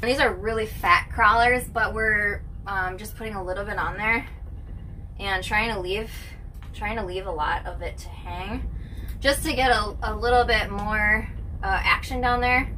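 A woman hosting a casual vlog, chatting and exclaiming excitably into a handheld camera inside an ice fishing tent. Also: medium pitch, measured pace, a little hiss or hum. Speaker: vlog host. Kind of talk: casual excited chat while filming. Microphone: handheld camera or phone.

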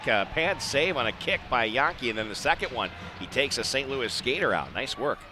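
A man's voice: medium pitch, moderate pace. Male hockey play-by-play man over rink noise. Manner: excitable on big plays, clipped phrases. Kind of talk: live radio-style ice hockey play-by-play commentary. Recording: live arena broadcast with background noise.

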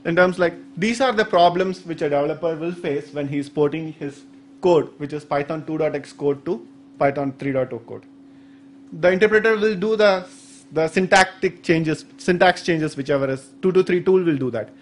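Slightly high-voiced Indian man explaining. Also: moderate pace, a little hiss or hum. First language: Saurashtra. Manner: hesitant explaining with many fillers and restarts. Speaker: conference speaker. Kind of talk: technical talk. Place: medium indoor conference room. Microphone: stand microphone at the podium.

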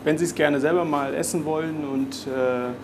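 A young man, a chef, calmly explaining a dish step by step with a few filler pauses, on a lapel mic. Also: on the high side. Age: young adult. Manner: relaxed, plain explaining with frequent filler pauses. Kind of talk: cooking demonstration. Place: garden, greenhouse and restaurant kitchen. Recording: sizzling kitchen noise behind. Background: kitchen noise and sizzling pan.

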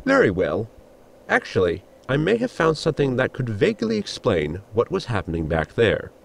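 An actor playing a polite, literal-minded ship's assistant with a gravelly, husky voice, explaining formally in a sci-fi audio comedy. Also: male voice, mid-range voice, huge pitch swings, normal speed, exaggerated voice. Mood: explanatory. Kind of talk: sitcom audio drama acting.

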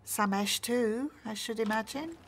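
A woman's voice: fairly high pitch, unhurried, slight background noise, close to an everyday voice. A woman acting in a radio soap as a prying customer, arch, formal and falsely polite. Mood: insinuating.

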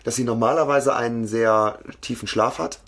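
A man's voice: mid-range voice, normal speed, a bit bright. A man co-hosting a podcast, chatting casually as he discusses a story. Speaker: podcast co-host. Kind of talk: casual banter about an audio drama series.

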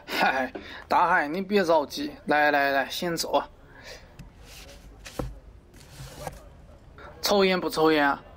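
A man's voice: fairly high pitch, moderate pace, a bit bright. A man playing a pompous middle-aged wholesale market director in a parody radio drama, avuncular and lecturing, crude yet fond of poetry. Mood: cordial. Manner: avuncular, lecturing, theatrical, abruptly swearing.

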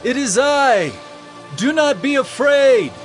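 An actor playing Jesus as a commanding, reassuring teacher in a slow, declamatory dramatized scripture reading. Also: male voice, extremely high pitch, lively intonation, full character voice. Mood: urgent reassurance. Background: loud music.

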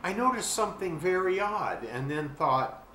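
An older man reading a children's storybook aloud expressively and deliberately, pausing to describe things. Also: sits high, big pitch swings, sharp tone. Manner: deliberate, with pauses to describe the pictures. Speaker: children's book reader. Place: small furnished room at home. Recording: roomy webcam sound with faint hiss.

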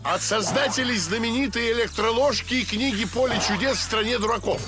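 A man's voice: very high voice, brisk. An actor voicing a slick male announcer reading an advertisement in a game cutscene with music behind. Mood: salesy. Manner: smooth commercial voice-over. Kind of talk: Russian video game dub.

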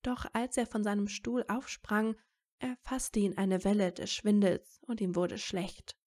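A woman's voice: mid-range voice, level tone, a little slow, a little mellow. A woman reading scripted narration for a fan fiction audio story.

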